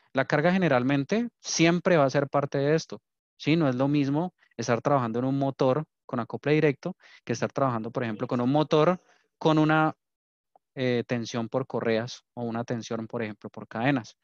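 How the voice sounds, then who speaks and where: A man's voice: medium pitch, no echo. A man with a Colombian accent from Cali presenting a technical webinar as an engineer and trainer, explaining and often checking in with short tag questions. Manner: explanatory, frequent "¿sí?" check-ins. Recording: dull lo-fi online meeting audio.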